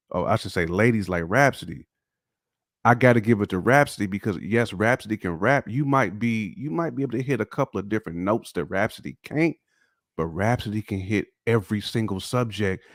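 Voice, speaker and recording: A man with a lowish voice talks loosely about music, hedging and restarting his thoughts. His sound is dry and close to the mic, with no room around it. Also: no echo. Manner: laid-back, hedging with fillers and restarts. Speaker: podcast co-host. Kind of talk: casual opinion chat.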